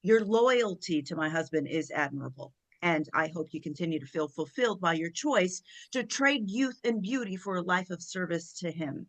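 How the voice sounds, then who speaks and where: A woman playing an imperious, sharp-tongued First Lady, haughty, biting and commanding, in a comedy play table read. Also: lowish voice, animated, moderate pace, few pauses. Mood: haughty, scornful.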